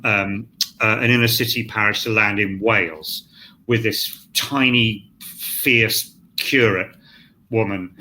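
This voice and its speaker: A man's voice: mid-range voice, sharp tone. An older man talking casually on a writers' panel, blunt, emphatic and rambling, with some swearing. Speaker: scriptwriter on a panel. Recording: clear background noise, live online event.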